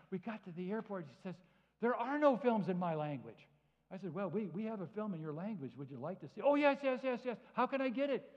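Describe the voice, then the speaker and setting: Male guest preacher, lively and conversational, high voice, dull sound in a reverberant hall. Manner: animated, acts out both sides of conversations. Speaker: guest speaker. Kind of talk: sermon with acted-out anecdotes. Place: church hall.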